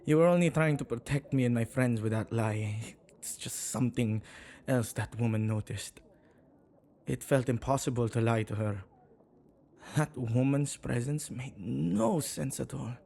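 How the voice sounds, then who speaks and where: Man acting a slow-talking, hesitant male witch. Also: mid-range voice, gravelly, mellow, a little hiss or hum. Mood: uneasy, awed. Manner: hesitant, halting, with sighs and murmured asides. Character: flustered, self-neglecting male witch who tends the dead. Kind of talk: ASMR audio roleplay, speaking intimately to the listener.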